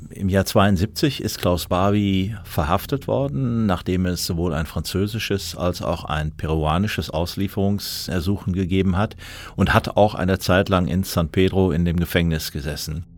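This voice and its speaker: A man giving measured, factual explanatory commentary as a documentary expert, close on the microphone. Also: sits low.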